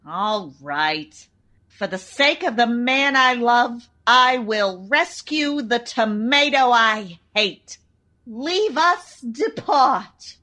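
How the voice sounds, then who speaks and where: A woman's voice: a little high, lively intonation, drawn-out words, sharp tone, heavily acted. An actress playing a brash, lovesick young chorus girl in a comedic old-time radio drama, with slangy, melodramatic tough-dame talk. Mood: grudging.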